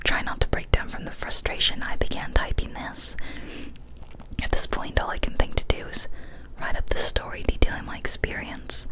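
Young woman whispering a scary story. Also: normal speed. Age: young adult. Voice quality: breathy, unvoiced whisper. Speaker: ASMR storyteller. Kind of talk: whispered ASMR reading of a first-person horror story. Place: small cozy indoor room. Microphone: close studio condenser mic on a boom arm.

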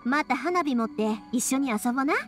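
A woman's voice: high voice, moderate pace, faint music behind, natural voice. An actress playing an outgoing young woman with a Kansai accent in an anime game. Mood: friendly. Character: friendly, outgoing young fireworks shop woman. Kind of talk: Japanese anime game voice acting.

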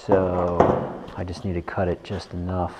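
A man's voice: low-pitched, monotone, normal speed. A man giving a casual hands-on tutorial, informally thinking aloud as he works in a garage. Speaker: bike mechanic host. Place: indoors in a large wooden workshop garage. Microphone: action camera worn on the body. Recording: echoey wooden garage with noticeable noise.

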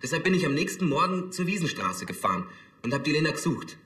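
TV drama apprentice, defensive, forceful edge, roomy sound. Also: male voice, a little high, sing-song, fast talker. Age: young adult. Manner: hesitant, defensive. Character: nervous, defensive young male apprentice. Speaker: actor in a TV police series.

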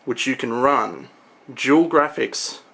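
A young man presents a casual tech showcase in a medium-pitched voice. His delivery is informal and explanatory, with halting phrasing, picked up by a camera microphone in a small room at home. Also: animated, gravelly, a bit bright, breathy edge. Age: young adult. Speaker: tech vlogger.

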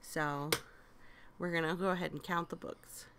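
A woman's voice: lowish voice, steady tone, normal speed. A woman casually helping a child read aloud, encouraging and prompting with questions. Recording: clear background noise.

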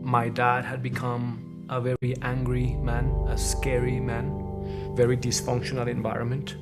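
A man in his forties from Colombia giving a quiet, reflective testimony in a documentary interview, speaking haltingly at times. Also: medium pitch, monotone, over loud music. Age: 40s.

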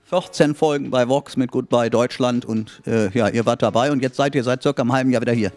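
A man's voice: mid-range voice, fairly even intonation, fast talker, a little mellow, soft background music. A middle-aged man hosting a casual talk show in a spa studio, chatty and joking, speaking into a handheld mic. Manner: jokey, chatty.

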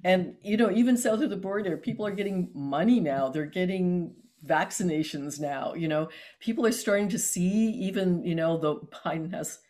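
A woman's voice: fairly low pitch, moderate pace, few pauses, dark tone. A woman in her sixties, from Canada, speaking earnestly over a video call with many ums and restarts. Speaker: author in conversation. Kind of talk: casual video-call conversation. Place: home room on a video call.

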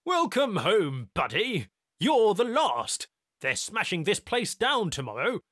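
A man's voice: sits high, wildly swooping pitch, takes it slowly, fairly bright tone, no room sound, clean studio sound, voice pushed up, full character voice. A man voicing a short, jocular male technician, sarcastic, brash and bellowing. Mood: gruff.

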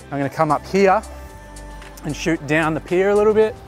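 A man's voice: fairly high pitch, moderate pace, soft background music. A man in his thirties, a photographer, casually explaining his shots while giving directions to a model outdoors. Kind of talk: casual behind-the-scenes commentary. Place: outdoors on a beach jetty.

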